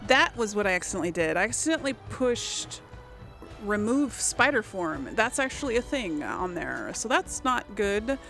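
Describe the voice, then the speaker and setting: A woman casually commentates a video game she is playing, with music running behind her. Her pitch swings widely and there is noticeable creak in her voice as she thinks aloud and grumbles to herself. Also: very animated, normal speed. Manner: thinks aloud, mutters complaints to self. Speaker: let's play host. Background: video game music and sounds.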